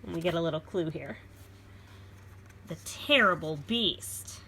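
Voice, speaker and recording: A woman reading a children's picture book aloud expressively, pausing to explain it simply to young listeners. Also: lowish voice, expressive, pushed and bright, a little hiss or hum.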